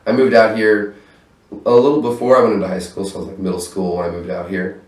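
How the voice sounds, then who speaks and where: A man's voice: on the low side, level tone, fast talker. A man talking loosely in a casual interview, swearing freely and laughing often, in his own apartment room. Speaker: stage manager interviewed as guest. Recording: roomy echo, voice far from mic.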